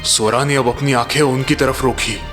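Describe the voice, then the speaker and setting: Guy reading out dramatic moral n horror stories, medium pitch, music under it. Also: steady tone, moderate pace. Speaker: narrator. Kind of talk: dramatic scripted story narration.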